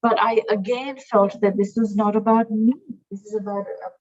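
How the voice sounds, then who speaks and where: A middle-aged woman from Sri Lanka answers interview questions as a museum director, in a voice of middling pitch. She speaks thoughtfully, pausing and restarting as she searches for the right words. The recording is dull and lo-fi. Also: steady tone. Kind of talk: interview conversation. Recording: dull lo-fi sound.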